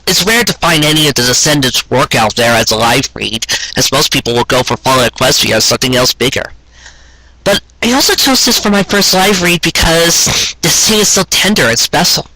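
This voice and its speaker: Male story reader, earnest and dramatic, bright edgy tone, close dry sound. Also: a little high, dry recording, rough recording. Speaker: fan fiction reader and show host. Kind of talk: expressive narrated story reading.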